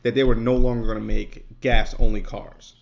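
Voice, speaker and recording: A man hosting a casual podcast, talking in a relaxed, rambling way with lots of fillers. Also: mid-range voice, moderate pace, creaky. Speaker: podcast host. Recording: dull lo-fi sound.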